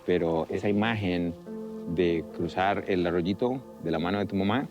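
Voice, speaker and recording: Low-voiced middle-aged Costa Rican man. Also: fairly quick, darkish tone, muffled recording. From Costa Rica. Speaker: river guide. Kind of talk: reflective documentary voice-over. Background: music.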